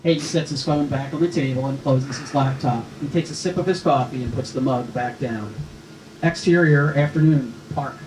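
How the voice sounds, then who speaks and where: A man's voice: mid-range voice, normal speed, a little mellow. A man reading the scripted action and stage directions aloud as narrator at a screenplay table read. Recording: echoey room with faint noise.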